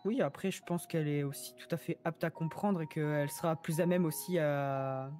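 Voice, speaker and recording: A woman's voice: deep voice, moderate pace, dark tone, faint music behind, close to an everyday voice. A woman playing a supportive, blunt confidant, giving frank advice in casual improvised roleplay dialogue. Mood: frank, advising. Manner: frank, advising.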